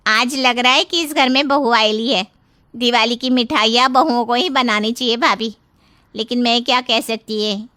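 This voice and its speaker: A woman's voice: fairly high pitch, steady tone, moderate pace, pushed and bright, no echo, voice pushed up. A woman voicing an approving, plain-spoken housemaid with colloquial street speech in a storybook tale.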